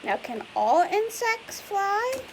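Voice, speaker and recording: Lively woman reading to children. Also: very high-pitched, takes it slowly, poor audio. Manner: chatty and explanatory, asks children questions. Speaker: teacher reading aloud. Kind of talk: read-aloud lesson for children. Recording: faint hiss.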